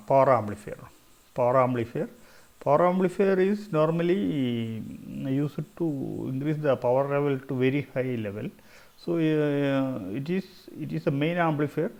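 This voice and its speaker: A man's voice: medium pitch, a little mellow. A middle-aged man with a South Indian Malayali accent explains slides as a lecturer, often repeating phrases for emphasis. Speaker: engineering lecturer.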